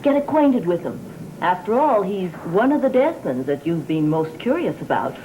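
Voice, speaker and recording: An actress playing a doting, trusting daughter in a gothic soap opera, solicitous and gently insistent in manner. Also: female voice, fairly low pitch, sing-song, moderate pace, slight background noise. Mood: eager. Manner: solicitous, bright-spirited, insistent. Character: doting, trusting daughter, happily sure of herself. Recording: dull vintage TV soundtrack, loud music.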